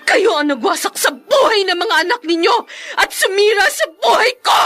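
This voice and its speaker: A woman's voice: extremely high pitch, lively intonation, moderate pace, bright, forceful tone, exaggerated voice. A woman playing a wronged, defiant and vengeful young woman in an emotional, combative Filipino radio drama style. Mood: furious.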